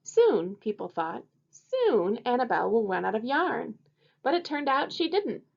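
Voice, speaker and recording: A woman teacher with a fairly high, very animated voice reads a children's story aloud. Her delivery is expressive and often creaky at the edges. The recording is dull and lo-fi. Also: unhurried. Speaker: first grade teacher. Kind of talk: expressive picture-book read-aloud for children. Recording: dull lo-fi audio.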